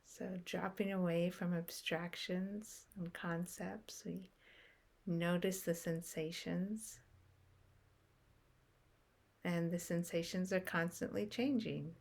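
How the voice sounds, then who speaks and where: A woman leads a guided meditation in a low, gravelly voice. She speaks very slowly and softly and leaves long pauses between short phrases. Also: fairly even intonation.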